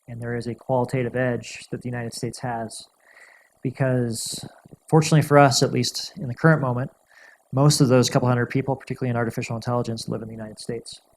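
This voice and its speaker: A male guest speaker answering audience questions in a measured, thoughtful way, often restarting and repeating words. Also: medium pitch, a little mellow, a little hiss or hum, rough recording. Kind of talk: lecture Q&A answers.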